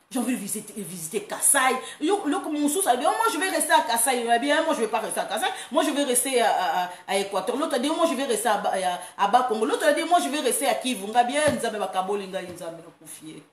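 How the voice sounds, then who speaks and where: Animated woman in an echoey room. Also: on the high side. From France. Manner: animated, laughing, breaking into playful chants. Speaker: online talk host. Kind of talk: casual monologue, mixing Lingala and French. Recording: roomy echo, faint background noise.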